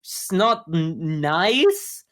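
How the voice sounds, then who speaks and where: A man's voice: sits high, big pitch swings, extremely slow, a bit bright. Wry, critical man chatting casually. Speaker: podcast co-host. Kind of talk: casual anime discussion.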